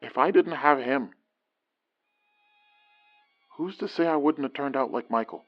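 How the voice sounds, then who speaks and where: A man's voice: medium pitch, unhurried, lo-fi sound, faint music behind, close to an everyday voice. An actor playing a reserved, self-doubting man in an audio drama, hesitant and sincere. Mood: troubled. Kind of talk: naturalistic audio drama acting.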